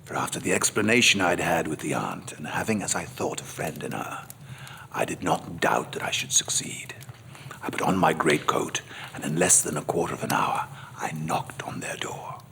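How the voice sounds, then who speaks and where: A man narrating as a worldly gentleman memoirist, in scripted dramatic style with formal, measured literary phrasing. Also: a bass voice, vocal fry, in a whisper, full character voice. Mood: reflective, confiding. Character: worldly gentleman memoirist recalling his seductions. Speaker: narrator reading a memoir in first person.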